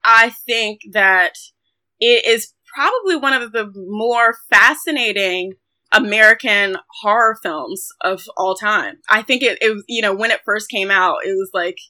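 A woman's voice: mid-range voice, unhurried, pushed and bright. A woman co-hosting a film podcast, talking casually in a chatty, somewhat hesitant way.

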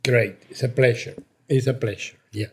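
A man's voice: mid-range voice, fairly even intonation, moderate pace, darkish tone. An older man from Mexico, a Spanish speaker, answering interview questions effusively, repeating words for emphasis. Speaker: cinematographer, interview guest.

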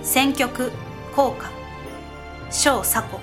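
A woman narrating a scripted historical drama in a formal, measured storytelling manner. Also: high voice, over music.

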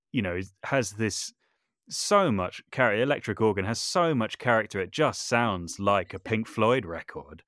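Man, low voice, dry close recording, chatty, self-deprecating, hesitant restarts, casual interview. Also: a bit bright, no echo. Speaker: podcast guest, composer and voice artist.

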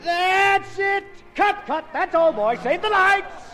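An actor playing a fussy, affected silent-film director with clipped, theatrical orders, in 1950s radio comedy style. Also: male voice, extremely high pitch, lively intonation, slow pace, full character voice. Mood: bossy, excited. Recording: old radio broadcast, reverberant stage, faint music.

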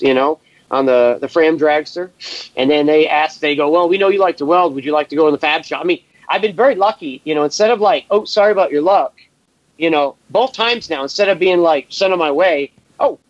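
A man from Massachusetts, a guest telling relaxed, rambling work stories in a casual interview. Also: on the high side, no room sound, lo-fi sound. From Massachusetts, USA. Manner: laid-back, rambling, many fillers. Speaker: guest, race shop welder.

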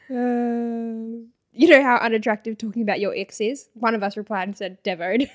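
A young woman co-hosting a podcast, casually reading hate comments aloud and laughing often. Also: a little high. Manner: giggly, reads comments aloud with mock voices. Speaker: podcast co-host.

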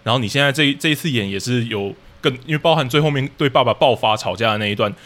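A man's voice: mid-range voice, quick pace, fairly bright tone. A man with a Taiwanese Mandarin accent talking casually as an interview guest, explaining his work as a drama director analytically.

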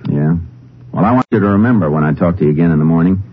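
An actor playing a calm, probing Texas Ranger in 1950s radio drama style, terse, controlled and authoritative. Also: male voice, low voice, racing, breathy edge. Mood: stern, probing. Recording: muffled old radio recording.

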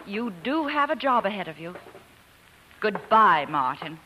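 A woman's voice: medium pitch, sing-song, a little slow, sharp tone, low-quality recording. An actress playing a cool, condescending woman with arch pity, in a 1940s radio drama. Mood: icy pity. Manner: pitying, arch. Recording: hissy 1940s radio recording.

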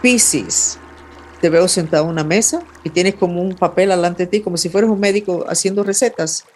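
A man hosting a weekly horoscope reading, giving calm, intimate advice with vivid imagery, in Spanish that is his second language. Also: high-pitched, fairly quick, slight background noise. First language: not Spanish. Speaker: astrologer host.

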